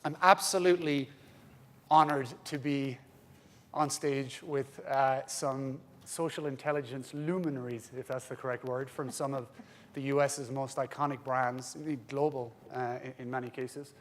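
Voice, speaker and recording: A man's voice: mid-range voice, fairly even intonation, a little hiss or hum. An Irish man from Ireland moderating a conference panel on stage, joking with the room and talking with frequent "uh" fillers. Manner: jokey, warm-up banter with pauses and "uh" fillers.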